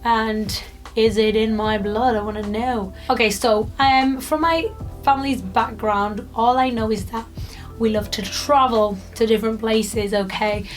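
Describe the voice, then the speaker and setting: A woman's voice: fairly high pitch, steady tone, unhurried, soft background music. A British woman in her twenties from the UK, chatting casually to camera in a rambling vlog with lots of fillers. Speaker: YouTuber.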